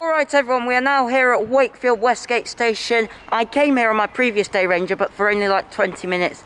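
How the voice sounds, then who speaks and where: A man's voice: extremely high pitch, steady tone, a little fast. A young man from England narrating a casual train-spotting vlog, upbeat and chatty, speaking into a handheld camera on railway platforms. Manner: upbeat, chatty, signs off with "enjoy". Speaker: train vlogger. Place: outdoor railway station platforms. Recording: loud ambient station and train noise.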